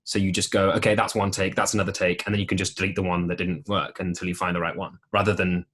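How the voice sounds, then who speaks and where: A young man with a deep voice telling stories in a casual podcast interview, with plenty of ums and restarts. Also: quick pace. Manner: relaxed storytelling with many ums and restarts. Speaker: podcast guest, musical theatre performer.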